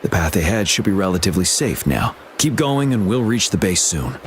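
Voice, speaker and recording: A man's voice: sits low, big pitch swings, fairly quick, a little hiss or hum. A man playing a stern, resolute military general in a game, speaking in a grave, formal, commanding manner. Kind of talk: scripted game dialogue. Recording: background music.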